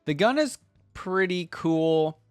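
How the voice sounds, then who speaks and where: A man's voice: high voice, animated, takes it slowly, smooth, no room sound, soft background music. A man hosting an off-the-cuff reaction video, talking informally and enthusiastically as he thinks aloud. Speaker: reaction video creator and host. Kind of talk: casual off-the-cuff reaction commentary.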